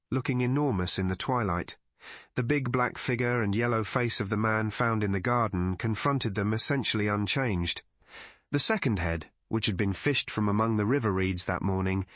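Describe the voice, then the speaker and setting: A man reading literary mystery narration in a measured, deliberate way. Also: medium pitch, a bit bright, dry recording. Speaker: audiobook narrator.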